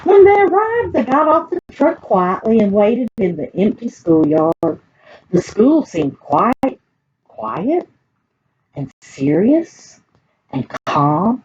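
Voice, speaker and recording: A woman with a Southern US accent reads a picture book aloud to children in an expressive, folksy way, adding playful asides. The recording is dull and muffled. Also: mid-range voice, takes it slowly. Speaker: librarian reading picture books aloud. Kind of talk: animated children's storytime reading. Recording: dull muffled audio with background noise.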